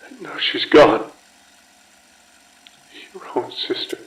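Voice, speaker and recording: A man playing a desperate, paranoid and murderous scientist in a halting, intense monologue for a horror game audio log. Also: a little high, expressive, reverberant, poor audio. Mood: anguished, blaming.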